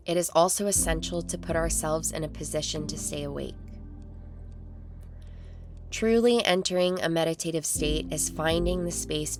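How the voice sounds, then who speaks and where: A woman narrating a guided meditation in a calm, soothing, measured way with long pauses. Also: low voice. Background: meditation music.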